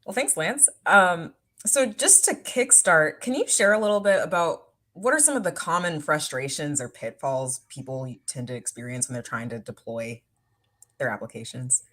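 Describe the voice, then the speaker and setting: A woman's voice: low-pitched, animated, normal speed, fairly bright tone. A woman hosting a tech interview, asking brief, friendly questions. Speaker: show host.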